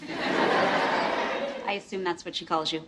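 An actress in a sitcom, delivering quick, teasing quips. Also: female voice, on the low side, level tone, noisy background. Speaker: sitcom mother character. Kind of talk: sitcom acting. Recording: sitcom audio with studio laughter.

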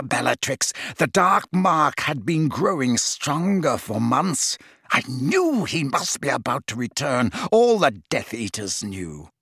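A man acts out a storybook double agent, a cold and condescending wizard. He speaks smoothly and deliberately with an impatient, sarcastic edge. The pitch moves expressively in a dry, close space. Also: fairly bright tone, no room sound, full character voice.

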